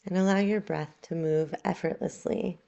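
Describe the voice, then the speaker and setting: Low mellow lady doing guided yoga nidra, calm and slow w long pauses between instructions. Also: gravelly, dull audio. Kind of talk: guided relaxation meditation.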